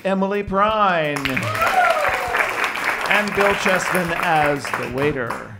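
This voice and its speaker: A man's voice: high-pitched, expressive, takes it slowly, very noisy. A man reading scripted credits as the announcer of a radio program. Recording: loud music and crowd applause behind. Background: loud music and crowd.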